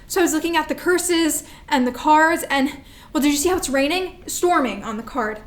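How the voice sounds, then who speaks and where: Actress as high-voiced, unstable, defiant younger sister. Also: female voice, moderate pace, natural voice. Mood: defensive, agitated. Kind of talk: staged reading of a play.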